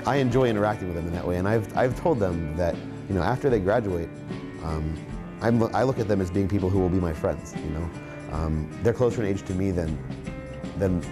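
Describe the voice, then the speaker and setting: A young man in his twenties, a science teacher, speaking encouragingly with frequent restarts and fillers. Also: deep voice. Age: 20s. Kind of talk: interview and classroom talk.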